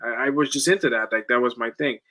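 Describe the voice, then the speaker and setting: A man's voice: mid-range voice, fast talker, pushed and bright. A man in his late twenties from the Netherlands who moved to the US as a child, chatting casually about sports with lots of slang and swearing. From Netherlands, moved to the US at ten. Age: late 20s. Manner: slangy, swearing, says "bro" often. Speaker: sports watch-along streamer.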